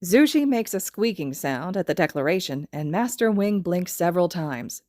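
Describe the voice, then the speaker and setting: A woman's voice: lowish voice, very animated, moderate pace, a little mellow, no room sound. A woman reading a story aloud in scripted narration. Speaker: podfic reader.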